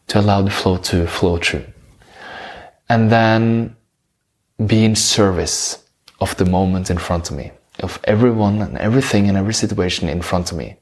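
Norwegian guy, lowish voice, slow spiritual musing, lots of pauses and restarts. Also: darkish tone. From Norway. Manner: slow, searching, with many pauses and self-corrections. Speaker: spiritual speaker. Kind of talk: reflective spiritual talk.

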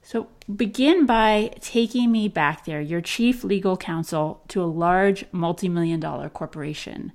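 Woman host asking questions, low voice with lots of vocal fry. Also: measured pace, hardly any pauses, a little mellow. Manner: direct, prompting questions. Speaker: interviewer. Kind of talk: conversational interview questions.

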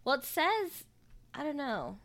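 Podcast co-host, woman, croaky and hoarse from a cold, slow and jokey. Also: high voice, big pitch swings, a bit bright. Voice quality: raspy. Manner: laughs often, joking. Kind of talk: casual chat.

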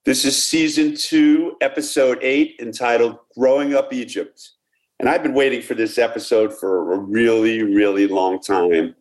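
A man hosting a sports podcast, welcoming guests and asking questions in a halting, filler-heavy way. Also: lowish voice. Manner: halting, many "uh" fillers and restarts. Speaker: podcast host. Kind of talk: casual podcast interviewing.

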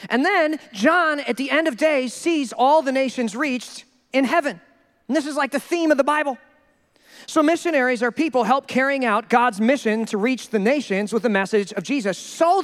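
A male pastor preaching a sermon in an animated, joking way, acting out the people he quotes. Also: extremely high pitch, big-room sound. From Minnesota.

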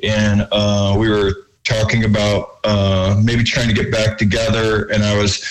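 A man who sings in a rock band, talking casually in an interview with a lower voice and lots of fillers. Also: level tone, unhurried, poor audio. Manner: rambling, chatty, many fillers. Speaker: band singer.

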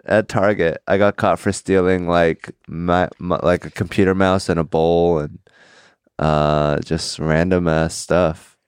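Deep, smooth deadpan young man. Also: dry recording, studio quality. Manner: deadpan, halting with pauses and fillers. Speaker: comedian guest. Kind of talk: casual chat.

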